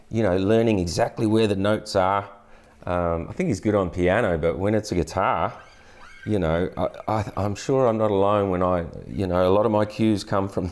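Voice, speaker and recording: A man, teaching guitar in a relaxed, chatty way with plenty of restarts, on a lapel mic in a studio. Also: on the low side. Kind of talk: casual guitar tutorial. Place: indoor studio, brick wall backdrop. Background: acoustic guitar playing.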